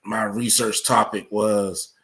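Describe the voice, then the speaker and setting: A man speaking as an interview guest, explaining things conversationally in a measured way. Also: on the low side, level tone, fairly bright tone. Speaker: podcast guest, researcher.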